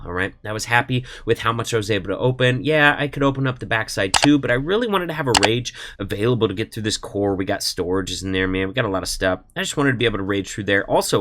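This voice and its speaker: Chatty man with a head cold. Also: mid-range voice. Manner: relaxed, chatty, says "man" and "all right?" often. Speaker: gaming YouTuber. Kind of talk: casual gameplay commentary.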